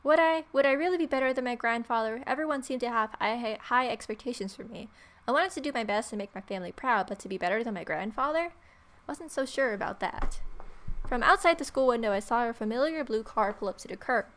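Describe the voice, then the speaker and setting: A young woman plays a visual novel and reads its narration aloud. Her voice is on the high side, and she is expressive and irreverent as a gaming host. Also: a little fast.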